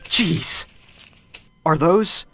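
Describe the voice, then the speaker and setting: A man's voice: fairly high pitch, drawn-out words, sharp tone, faint music behind, full character voice. A man playing a panicky, agitated young rookie police officer in a radio drama. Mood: horrified. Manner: agitated, exclaiming.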